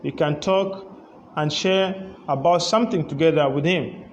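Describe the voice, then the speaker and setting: Man, high-pitched, live-chat host, hesitant, filler-heavy, faint background noise. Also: moderate pace, lo-fi sound. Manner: hesitant, many fillers and restarts; enthusiastic interjections. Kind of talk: casual live-stream interview.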